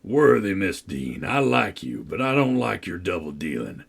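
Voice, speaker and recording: A man reading a novel aloud, voicing a deep-voiced, menacing villain who sneers, coaxes and threatens. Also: big pitch swings, moderate pace, vocal fry, breathy edge, heavily acted. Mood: coaxing. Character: menacing, brooding older man, a manipulative villain.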